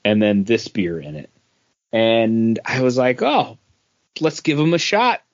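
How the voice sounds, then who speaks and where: A man's voice: medium pitch, lively intonation, unhurried, no echo. A man speaking as a podcast co-host in casual, informal review-style chat. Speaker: podcast co-host, works at a beer store. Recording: dull, lo-fi podcast audio.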